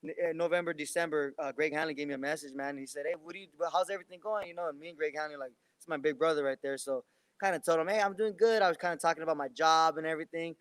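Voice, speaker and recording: A man's voice: on the high side, racing, clear, resonant tone, no echo, rough recording. A young man in his twenties, a boxer, answering interview questions in a loose, rambling way with many restarts. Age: 20s. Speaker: professional boxer.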